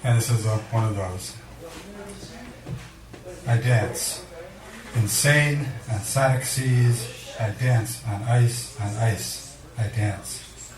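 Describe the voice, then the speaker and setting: A man giving a live poetry reading, speaking in a measured, deliberate way with dramatic pauses and casual introductions. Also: lowish voice, far from the mic, crowd noise. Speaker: poet reading at a poetry event. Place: indoor cafe venue before a live audience. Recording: echoey room recorded from afar, audience murmur.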